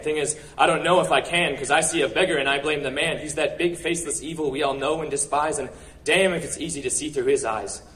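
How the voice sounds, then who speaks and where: A man performing spoken word poetry at a live open-mic event, with earnest, rhetorical delivery and deliberate pauses. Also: medium pitch, fairly bright tone, recorded from a distance, low-quality recording. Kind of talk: poetry recital, slam-style spoken word.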